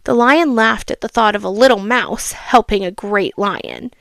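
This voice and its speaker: A woman reading a children's storybook aloud, expressive and teacherly, with asides and questions for the listener. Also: mid-range voice, moderate pace, creaky, no room sound.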